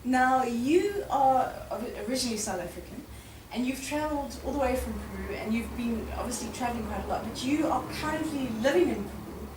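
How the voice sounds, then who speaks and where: A woman hosting an informal live interview in front of an audience, with a loud, chatty way of speaking. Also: fairly high pitch, a little fast, echoey room, recorded from a distance, background noise. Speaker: event host interviewer.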